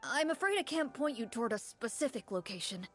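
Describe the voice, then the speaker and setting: Earnest, eager game townsperson, high voice. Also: female voice, lively intonation, fairly quick, fairly bright tone, soft background music. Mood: worried. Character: earnest hopeful young townsperson seeking hunters. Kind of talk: game voice acting.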